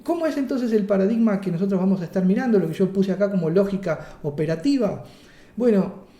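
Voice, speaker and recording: A man's voice: sits high, mellow, reverberant. A man with a Rioplatense Spanish accent teaching a workshop in a playful, chatty way, asking and answering his own questions and slipping in jokes. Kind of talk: workshop lecture.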